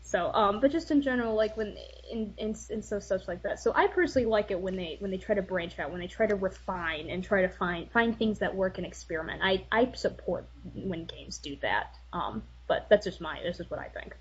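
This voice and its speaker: Husky, hesitant woman, dull lo-fi audio. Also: medium pitch, a little fast, few pauses. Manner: hesitant, thoughtful, hedging. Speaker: podcast guest. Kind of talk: casual opinion chat.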